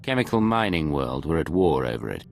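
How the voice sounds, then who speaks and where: An actor playing a composed imperial intelligence agent in a video game, formal and controlled in speech. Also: male voice, rumbling low, sing-song, brisk, vocal fry, soft background music, exaggerated voice. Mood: composed, dutiful.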